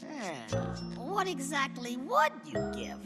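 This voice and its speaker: An actor voicing a small, boastful cartoon jungle swindler with a creaky, cocky, wheedling delivery. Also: male voice, extremely high pitch, wildly swooping pitch, takes it slowly, full character voice. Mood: sly. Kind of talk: cartoon voice acting. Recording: long reverb, music behind.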